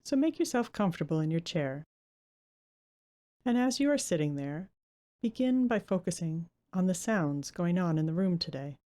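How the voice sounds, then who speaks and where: Female meditation guide, lowish and very soft-edged in tone, gentle, unhurried delivery with generous pauses. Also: expressive.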